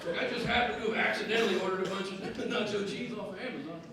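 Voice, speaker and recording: A brash, self-mocking man with a Southern US drawl delivers a crude stand-up set in a high, punchy voice. The sound is heavily echoey and picked up from a distance, with loud crowd laughter and noise behind him. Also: fast talker. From American South. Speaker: stand-up comedian. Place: comedy club stage. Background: audience laughter and noise.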